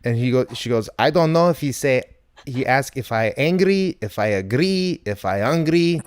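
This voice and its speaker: A man's voice: mid-range voice, lively intonation, normal speed, smooth, no echo. A man hosting a comedy podcast, telling digressive anecdotes in casual chat. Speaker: podcast host.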